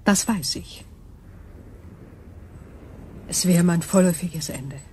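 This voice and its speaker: Cool, mellow alien crystal intelligence. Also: female voice, on the low side, slow, slight background noise. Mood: cool, factual. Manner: formal, precise, analytical phrasing. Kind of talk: radio drama acting.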